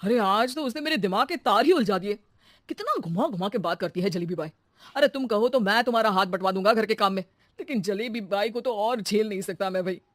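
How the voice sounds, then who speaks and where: A woman's voice: mid-range voice, brisk, no room sound, studio quality, close to an everyday voice. Woman voicing a frazzled cartoon husband. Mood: exasperated. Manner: flustered, pleading to be left alone. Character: exasperated middle-aged male homeowner. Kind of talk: cartoon story dubbing.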